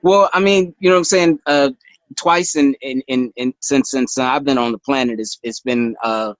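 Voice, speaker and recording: A man from Florida, talking in a halting way full of fillers and repeated words. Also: mid-range voice, dry recording, lo-fi sound. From Florida, United States. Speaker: new podcast co-host. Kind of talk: casual chat.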